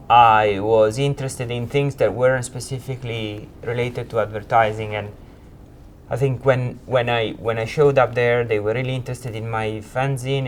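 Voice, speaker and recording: A man in his forties from Italy with an Italian accent, a creative director recounting his career in an informal interview in hesitant, halting phrases. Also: medium pitch, slight background noise. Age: 40s. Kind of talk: informal interview, telling own career story.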